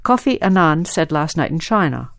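Clear, low-voiced woman reading news. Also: big pitch swings, moderate pace, no echo, clean studio sound. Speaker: radio news presenter.

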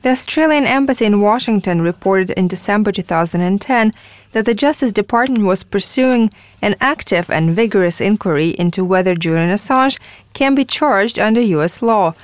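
A female newsreader delivering a radio news report in a measured, formal way. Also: mid-range voice, expressive, no echo.

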